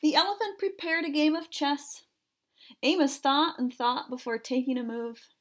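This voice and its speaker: A woman reading a picture book aloud for children in an expressive, measured storytelling style. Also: high-pitched.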